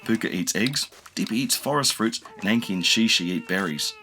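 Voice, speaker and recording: Expressive male storybook narrator. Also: on the low side, a little fast, background noise. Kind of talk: children's audiobook read-aloud.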